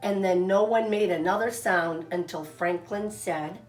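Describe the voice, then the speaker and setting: A woman narrating a children's storybook like a teacher, expressive and deliberate, stretching out words. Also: on the low side. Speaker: kindergarten teacher reading stories to children. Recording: echoey room, faint music behind.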